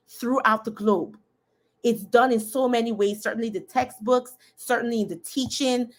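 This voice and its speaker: A woman hosting a live discussion, talking casually and emphatically, laughing often and addressing her audience directly. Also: mid-range voice. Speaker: host.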